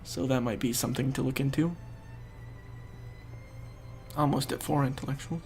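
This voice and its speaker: A man's voice: mid-range voice, normal speed, a little mellow, soft background music. A young man with a stuffed-up, fading voice, casually thinking aloud while he plays and explains a strategy game. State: congested, losing his voice. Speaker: gaming video host. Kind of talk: casual gameplay commentary.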